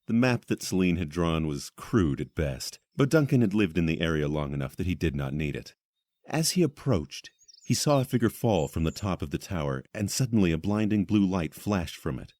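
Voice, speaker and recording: A man's voice: sits low, very animated, moderate pace, darkish tone, no echo. A man narrating a scripted fantasy audio drama as its storyteller. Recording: clearly noisy recording with clicks.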